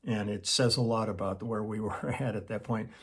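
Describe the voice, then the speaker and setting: A man's voice: on the low side, steady tone, moderate pace, fairly bright tone, slightly rough. An older man, a session musician, reminiscing in a casual, rambling monologue full of restarts and quoted asides. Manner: rambling, self-interrupting, with chuckles and quoted asides. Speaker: session musician hosting his own channel.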